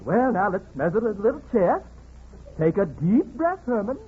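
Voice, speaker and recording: A man's voice: high-pitched, big pitch swings, normal speed, narrow, tinny audio. A man playing a patronizing, chirpy menswear salesman in 1940s radio comedy, sing-song and condescending. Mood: fussy, condescending. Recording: noisy, thin 1940s radio, audience behind.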